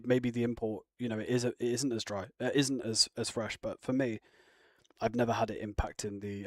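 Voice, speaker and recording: A man's voice: lowish voice, dry recording, studio quality. A man chatting casually on a live stream, rambling and answering viewer comments. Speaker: live streamer reacting to chat. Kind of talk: casual chat.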